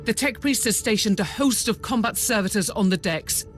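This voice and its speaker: An actor playing an anxious, formal starship captain in a video game, reporting with urgency. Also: male voice, high voice, animated, moderate pace, fairly bright tone, soft background music. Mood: alarmed. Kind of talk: dramatic video game acting.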